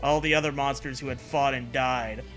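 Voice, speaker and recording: A man's voice: medium pitch, fairly even intonation, moderate pace, fairly bright tone. A man co-hosting a comedy riffing show, switching between mock-dramatic story reading and crude, sweary, sarcastic joking. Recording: music behind the voice. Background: music.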